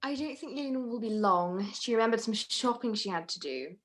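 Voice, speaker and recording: A woman's voice: a little high, animated, a little fast. A woman playing an evasive, falsely innocent married lady in a detective radio play, polite and coy.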